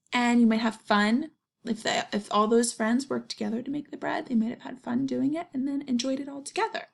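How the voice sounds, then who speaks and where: A woman reading a children's story aloud and pausing to put questions to her young listeners. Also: on the high side, vocal fry, slightly rough.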